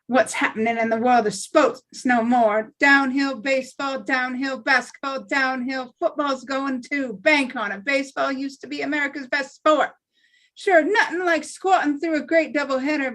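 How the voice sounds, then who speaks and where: A woman's voice: sits high, fairly even intonation, normal speed, a bit bright, low-quality recording. A woman in a table read playing a cynical, grumbling bartender with jaded, slangy talk. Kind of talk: screenplay table read acting.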